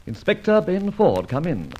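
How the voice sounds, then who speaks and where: An actor playing a witty, debonair gentleman adventurer in an old-time radio drama. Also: a little high, huge pitch swings, slow pace, a little hiss or hum, exaggerated voice. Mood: breezy, teasing. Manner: debonair, flippant, bantering. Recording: dull old radio recording.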